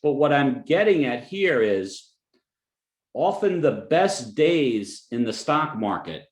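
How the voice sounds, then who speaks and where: A man's voice: mid-range voice, big pitch swings, slow pace, roomy sound. A man from New Jersey with a New Jersey accent, a financial advisor giving a client webinar presentation in a conversational way, with joking asides and frequent restarts.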